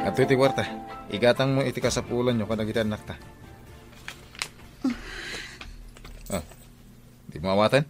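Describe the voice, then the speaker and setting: A man's voice: medium pitch, fast talker, over music, close to an everyday voice. A man with a northern Philippine accent acting a hot-tempered criminal husband in a radio drama. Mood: insistent.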